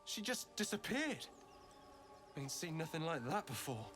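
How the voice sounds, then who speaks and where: Earnest, higher-voiced village brother. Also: male voice, big pitch swings, measured pace, music in the background. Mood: worried, earnest. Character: worried, pleading young village brother. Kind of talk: video game character acting.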